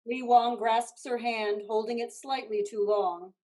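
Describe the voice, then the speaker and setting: A woman's voice: fairly high pitch, fairly even intonation, a little slow, a little mellow, low-quality recording. A woman reading scripted stage directions as narrator.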